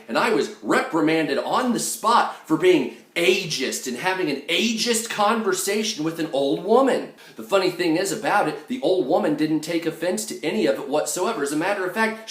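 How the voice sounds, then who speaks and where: Male storyteller, brash and sarcastic with salty language, very lively intonation, recorded in an echoey room. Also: sits high. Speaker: storytime video host. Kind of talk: animated personal storytelling.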